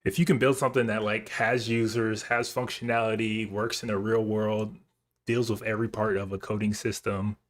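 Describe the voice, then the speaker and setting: A man's voice: fairly low pitch, little pitch movement, moderate pace. A man livestreaming in an informal, slangy way, thinking aloud as he chats with viewers. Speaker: live coding streamer. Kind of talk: casual livestream chat, reading and answering viewer comments.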